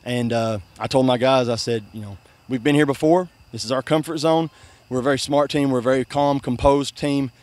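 A man's voice: mid-range voice, steady tone, a little fast, darkish tone. A young man, a soccer coach giving a postgame interview outdoors by a river at night, soaked from a celebratory jump, talking earnestly with many restarts and "I mean". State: soaking wet after a river jump. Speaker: high school soccer coach.

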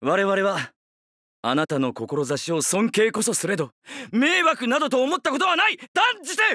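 An actor playing a haughty, hot-tempered, fiercely loyal young retainer, brusque and emphatic, in an anime drama. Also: male voice, high-pitched, very animated, moderate pace, pushed and bright, no echo, studio quality, full character voice. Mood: fervent.

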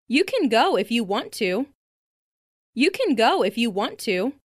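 Female voice, slightly high, very slow and deliberate, lively intonation, dry studio sound. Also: dry recording. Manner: careful, deliberate enunciation. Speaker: listening practice speaker. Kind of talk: slow read example sentences.

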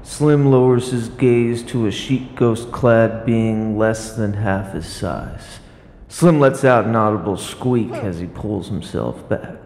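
A man narrating a scripted radio play in a theatrical, deliberate storytelling manner. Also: on the low side, darkish tone, slight background noise. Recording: roomy long reverb, music behind.

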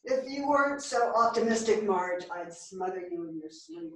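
A woman's voice: a little high, expressive, moderate pace, fairly bright tone. An actress playing a sardonic, bookish elderly woman with dry, morbid wit in a staged reading. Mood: dry, wry. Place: small theatre stage, far camera. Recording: echoey theatre, distant microphone.

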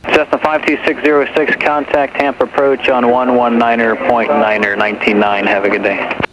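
A man's voice: mid-range voice, little pitch movement, moderate pace. A man working as an air traffic controller, giving procedural calls over aviation radio. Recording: dull, noisy aviation radio transmission.

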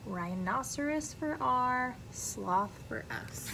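A woman's voice: high-pitched, lively intonation, slow pace, vocal fry, noisy background. An American woman, around her thirties, reads a children's picture book aloud like a teacher, expressively and carefully, with friendly asides to young listeners. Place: indoor classroom.

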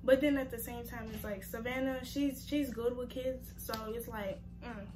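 A woman's voice: fairly high pitch, steady tone, moderate pace, noisy background. Chatty young woman losing her voice. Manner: rambling, chatty, with filler "like". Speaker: reaction video host. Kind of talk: casual slangy chat to viewers.

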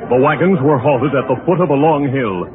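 A man's voice: mid-range voice, lively intonation, brisk. A man narrating a scripted adventure drama in a dramatic 1930s radio style. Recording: tinny old radio recording with music.

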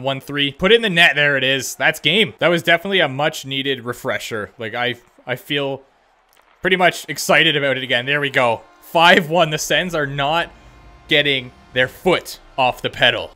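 A man's voice: mid-range voice, brisk, sharp tone. A young man giving casual, slangy gameplay commentary on a hockey video game, with playful, self-deprecating asides. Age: young adult. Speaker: gaming video host playing a hockey game. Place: indoors at a home gaming setup. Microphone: close desk microphone, wearing headset.

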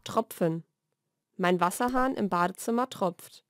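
A woman reads short scripted vocabulary lesson sentences in a clearly enunciated, measured way. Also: mid-range voice. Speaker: language lesson narrator. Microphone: studio voice-over recording.

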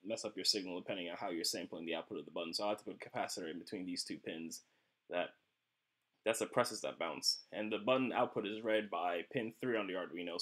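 A man's voice: fairly low pitch, fairly bright tone. A man narrating an unscripted electronics tutorial as a hobbyist, explaining things informally and ramblingly, with "you know" sprinkled through. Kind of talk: casual unscripted tutorial narration over screen capture.

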